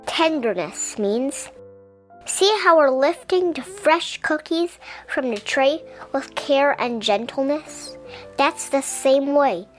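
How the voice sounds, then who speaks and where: High-voiced woman reading a children's storybook. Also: lively intonation, slow pace, faint music behind. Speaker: picture-book reader. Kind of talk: children's storybook read-aloud.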